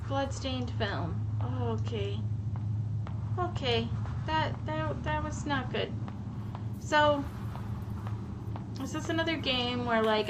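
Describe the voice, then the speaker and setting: A woman with a somewhat high-pitched voice gives off-the-cuff commentary as she streams a game. She talks casually and swears freely, reasoning out loud as she goes. Loud music plays behind her voice throughout. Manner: casual, sweary, thinking aloud. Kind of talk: casual live gameplay commentary.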